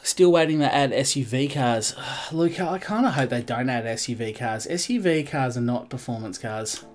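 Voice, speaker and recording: A man's voice: mid-range voice, lively intonation, moderate pace. A man commentating casually while he streams a racing game, stuffed up and nasal, rambling and greeting his viewers as he goes. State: congested, nasal.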